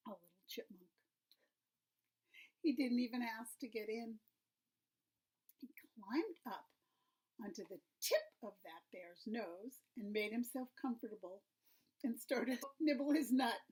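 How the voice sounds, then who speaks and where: A woman reading a children's story aloud, expressive and often dropping to a hushed, breathy tone. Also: fairly high pitch, measured pace, long pauses, rough recording.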